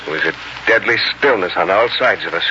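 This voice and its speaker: An actor playing an anguished young frontier settler in an earnest, emotional 1940s radio drama style. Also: male voice, sits low, expressive, quick pace, very noisy. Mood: somber recounting. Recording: muffled old radio broadcast, loud music.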